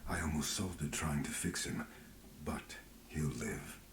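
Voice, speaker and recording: A weary, serious healer in a fantasy game, with an extremely low voice. He speaks with measured urgency in roomy audio with some background noise. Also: expressive, exaggerated voice. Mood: grave, weary. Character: grave, weary male fantasy mage healer. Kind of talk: video game voice acting.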